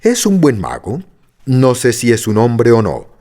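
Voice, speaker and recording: Male narrator doing a sweet old witch, gentle and lilting. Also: mid-range voice, huge pitch swings, unhurried. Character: kindly little old witch woman.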